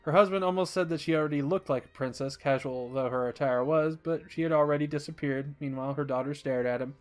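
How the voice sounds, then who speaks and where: A man's voice: fairly high pitch, fast talker, faint music behind. A man reading a scripted story aloud as its narrator.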